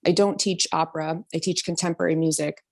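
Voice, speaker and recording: A woman in her thirties from New York talks through her story as an interview guest. Her voice sits low, and she fills pauses with ums and soft laughs. It comes across as easy, chatty conversation. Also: steady tone. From New York City. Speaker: guest, singer and voice teacher. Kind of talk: casual interview talk.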